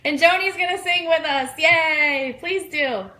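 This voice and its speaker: A chatty, enthusiastic woman co-hosting a casual livestream radio show, reading out requests and shout-outs. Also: sits high, measured pace. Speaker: co-host of an online fan radio show. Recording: roomy echo, clear background noise.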